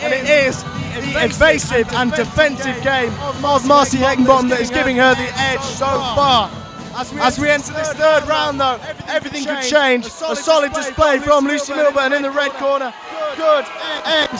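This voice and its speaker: Excited male boxing commentator, very high voice, emphatic and repetitive, over loud crowd and music. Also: moderate pace, long pauses. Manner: emphatic, repeats phrases. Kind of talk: excited live fight commentary. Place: boxing event, amid the crowd.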